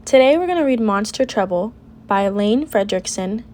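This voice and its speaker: A woman reading a children's picture book aloud with an expressive, deliberate delivery. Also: mid-range voice. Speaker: storybook reader.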